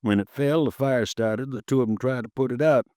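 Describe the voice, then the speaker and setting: A man's voice: medium pitch, fairly quick, darkish tone, no room sound, clean studio sound, natural voice. An actor playing a stocky, red-faced insurance agent in his late fifties, chatty, folksy and easily riled, in a 1950s radio drama. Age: 50s. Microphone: close studio mic, dry.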